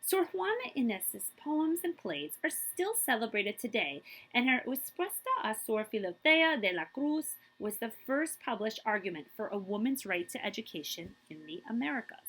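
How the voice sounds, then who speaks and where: A woman's voice: on the high side, sing-song, moderate pace, few pauses, a bit bright, breathy edge, poor audio. A woman reading a picture book aloud like a children's librarian, emphatic and drawing out key words, with brief asides to her listeners.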